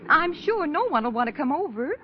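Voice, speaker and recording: High, swooping, bossy society hostess. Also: female voice, sing-song, a little fast, background noise. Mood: exasperated, baffled. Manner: gushing, bossy, theatrical. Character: brisk, nosy, exasperated society hostess and friend. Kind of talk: old-time radio drama acting. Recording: muffled old radio recording.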